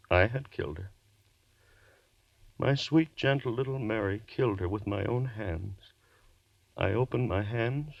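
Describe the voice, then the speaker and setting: An actor playing a tormented, desperate murderer and lecturer in intense, melodramatic 1940s radio horror style, with dramatic pauses. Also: male voice, medium pitch, no room sound, lo-fi sound. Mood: anguished, brooding. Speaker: narrator and lead actor.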